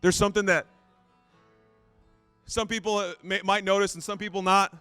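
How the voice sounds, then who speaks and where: A man's voice: high voice, little pitch movement, moderate pace, sharp tone, smooth, no echo, faint music behind. A man in his late thirties preaching in a conversational, self-deprecating way, often repeating his phrases. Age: 30s. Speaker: pastor. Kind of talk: sermon.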